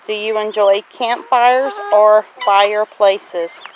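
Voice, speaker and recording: A woman's voice: medium pitch, steady tone, slow, rough recording. A middle-aged woman talking in a plainspoken, folksy, reflective way, answering questions casually for a homestead vlog. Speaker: homesteading vlogger. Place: outdoors on a farm homestead. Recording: outdoor noise with clicks, farm geese honking. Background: farm animals, geese honking.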